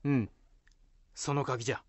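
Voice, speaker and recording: A man's voice: mid-range voice, steady tone, a little slow, no room sound, natural voice. A man acting in a Japanese radio drama as a dignified, composed samurai commander, with lordly, archaic speech. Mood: composed, commanding.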